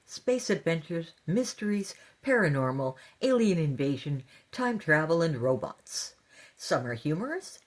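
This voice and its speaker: A woman's voice: low-pitched, slightly rough. A woman hosting and narrating fiction in a scripted storytelling style. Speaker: podcast host and story narrator.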